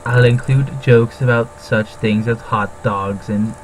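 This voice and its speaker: A man's voice: medium pitch, steady tone, measured pace, a crowd in the background, low-quality recording. A man in casual banter as a heckling co-host, teasing with crude humour.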